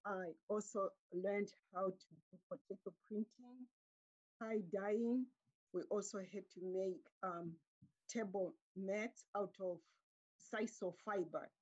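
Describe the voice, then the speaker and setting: Calm, husky middle-aged woman. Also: medium pitch, highs cut off. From Zimbabwe. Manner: calm, measured, with frequent um pauses. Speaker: guest artist. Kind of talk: conversational interview answers. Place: home room with curtains. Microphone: laptop webcam on a video call.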